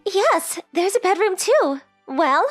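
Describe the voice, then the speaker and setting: A woman's voice: very high voice, big pitch swings, slow, soft background music, heavily acted. A woman voicing a sweet, polite rich teenage girl who is coy and forward, in a romantic comedy comic dub. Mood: coy, teasing. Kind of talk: anime-style comic dub dialogue.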